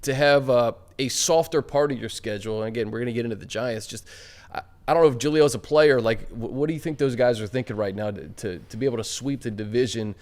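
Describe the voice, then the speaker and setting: Sports show host, male, mid-pitched, conversational presenter delivery. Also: fast, few pauses, darkish tone. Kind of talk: conversational sports talk with sponsor read.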